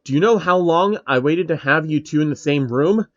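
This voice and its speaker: A man's voice: on the high side, moderate pace, dry recording, lightly acted. Theatrical scheming villain, pompous, declaiming, played by a male narrator; close, dull recording. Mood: smug.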